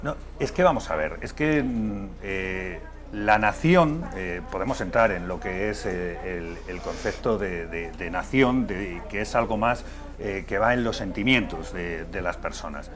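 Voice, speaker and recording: Hesitant man with a low voice. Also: lively intonation, measured pace, music in the background. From Madrid, Spain. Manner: hesitant, many fillers and restarts. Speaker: political guest. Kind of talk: televised political debate.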